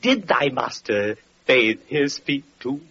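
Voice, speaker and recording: An actor playing a bitter, lame, evil-faced wanderer with mocking, archaic declamation in an old-time radio drama. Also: male voice, medium pitch, expressive, takes it slowly, fairly bright tone, breathy edge, full character voice. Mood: cold challenging. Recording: muffled, noisy vintage radio recording.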